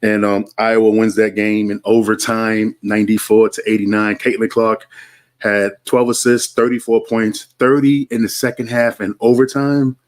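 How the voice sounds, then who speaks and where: A man's voice: fairly low pitch, little pitch movement, moderate pace. A man hosting a basketball podcast in a laid-back, slangy way, with lots of fillers. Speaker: podcast host. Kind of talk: casual sports podcast chat.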